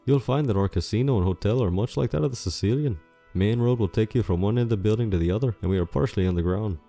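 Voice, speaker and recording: Male actor as professional catfolk clerk for fantasy audiobook; lowish, mellow, courteous and formal. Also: fast. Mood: polite, businesslike. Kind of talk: audiobook character dialogue. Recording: music under the voice.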